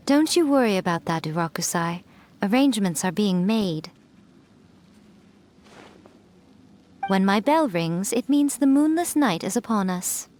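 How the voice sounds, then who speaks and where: A woman's voice: medium pitch, animated, unhurried, a little mellow, slight background noise, lightly acted. A woman voicing a sly, centuries-old fox yokai shrine maiden in a video game, with a playful, smug, teasing manner. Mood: fond.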